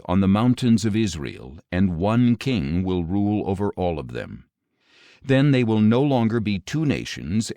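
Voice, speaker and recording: A man acts out a solemn, commanding God in an audio Bible. The voice is low and grave, declaiming in measured phrases that rise and fall widely. The sound is dry and close. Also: darkish tone, dry recording. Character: commanding, solemn male God speaking prophecy. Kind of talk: audio Bible scripture reading.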